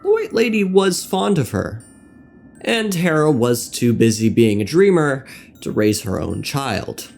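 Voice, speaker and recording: A man narrating a scripted video essay on game lore, with wry, deadpan asides. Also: medium pitch, a little slow, gravelly. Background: music.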